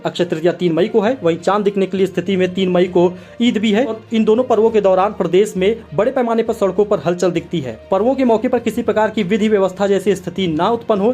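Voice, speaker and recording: A man's voice: high-pitched, a little mellow. A man presenting scripted news narration for an online video channel, addressing viewers directly as friends. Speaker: host of a news explainer channel. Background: background music.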